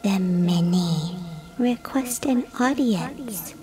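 A woman voicing an undead young girl, an eerie and solemn shade speaking slowly and in half whispers, in a dark fantasy audio drama. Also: mid-range voice, animated. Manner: slow, partly whispered, deliberate.

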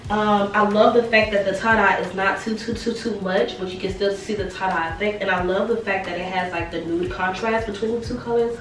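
A young woman in her twenties chatting casually through a clothing try-on, her voice a little raspy from a sore throat, repeating words for emphasis. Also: medium pitch, slight background noise. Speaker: fashion vlogger. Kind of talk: casual try-on haul chat. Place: small bright bedroom. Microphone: camera mic a few steps away. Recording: roomy sound from a distant camera.

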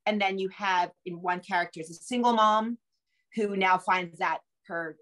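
A woman's voice: on the low side, a bit bright. A woman in her forties giving conversational interview answers as a guest author on a video call. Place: home room with bookshelves, indoors.

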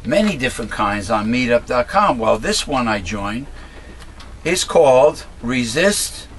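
A middle-aged man with a raspy voice speaks at an ordinary pitch straight into a desk webcam. He rambles in a casual monologue, full of "uh"s and restarts, and voices blunt opinions. A faint hum of noise sits behind him in a small room at home. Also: big pitch swings, measured pace, a bit bright. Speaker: host of a political commentary channel. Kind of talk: casual monologue, talking to camera.

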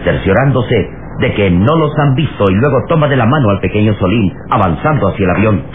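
A man narrating a radio serial in a solemn, theatrical style with drawn-out phrasing. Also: lowish voice, lively intonation, narrow, tinny audio, noisy background. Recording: thin vintage radio sound, loud music.

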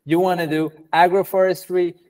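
A young man hosting a podcast over a video call, asking questions in a relaxed way with many ums. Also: high voice, normal speed. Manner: relaxed, agreeable, filler-heavy questions. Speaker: podcast host.

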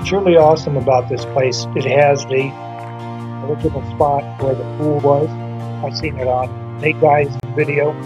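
A man's voice: fairly high pitch, fairly even intonation, normal speed, muffled recording, music in the background. A middle-aged man narrating his walk around an abandoned motel, chatty and enthusiastic, talking to a handheld camera outdoors in the snow. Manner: chatty, enthusiastic, with wandering asides. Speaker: urban explorer vlogger. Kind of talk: casual walking narration. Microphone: handheld camera at arm's length.

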